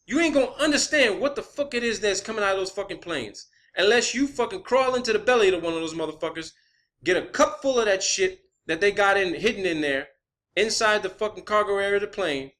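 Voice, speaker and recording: A man's voice: high-pitched, animated, fast talker, fairly bright tone. A man delivering a confrontational, blunt, profanity-heavy rant as an online video creator. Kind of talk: profane spoken rant to camera or viewers.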